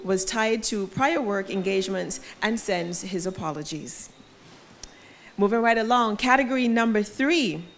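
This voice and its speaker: A woman emcees an awards evening from a stage microphone, reading out categories and nominees in a polished, measured way. She makes the odd wry joke at her own expense. A faint hum of the room sits behind her. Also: medium pitch, vocal fry. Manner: polished, self-deprecating asides. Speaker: awards ceremony host. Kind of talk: formal emcee announcements. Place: event venue.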